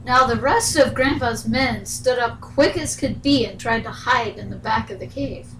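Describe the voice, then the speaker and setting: Higher-voiced woman telling a bedtime story. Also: normal speed, fairly bright tone, slight background noise, rough recording. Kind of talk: bedtime story narration, scripted.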